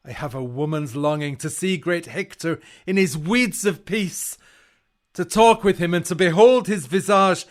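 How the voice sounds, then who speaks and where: An actor playing a haughty Greek warrior lord in a Shakespearean audio drama, with a grand, relishing delivery. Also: male voice, sits high, unhurried. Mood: longing.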